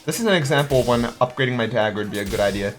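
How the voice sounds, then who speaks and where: A man's voice: mid-range voice, very fast, soft background music. Chatty man narrating his game. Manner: chatty, thinks aloud, counts dice under his breath. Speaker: gaming channel host. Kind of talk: let's play commentary.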